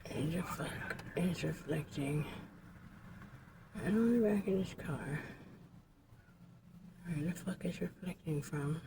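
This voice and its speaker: Gravelly, hushed woman vlogger over noise. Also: deep voice, slow, pauses often. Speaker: vlogger filming and telling a story. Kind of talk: casual handheld vlog commentary and storytelling. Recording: noisy handheld amateur recording.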